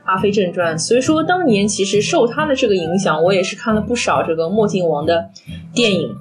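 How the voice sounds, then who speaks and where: A woman's voice: mid-range voice, animated, brisk. A young woman from Shanghai chats about films as a podcast co-host, with a mid-range voice. She tells things in a reflective, conversational way, with music playing behind her. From Shanghai, China. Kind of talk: casual film discussion.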